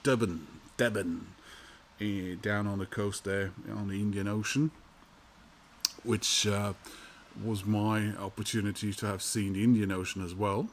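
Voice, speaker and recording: A man's voice: fairly low pitch, steady tone, slight background noise. Rambling Norwegian man telling a story. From Norway. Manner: rambling, halting, many fillers and restarts. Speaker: vinyl collector vlogger. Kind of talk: casual storytelling monologue.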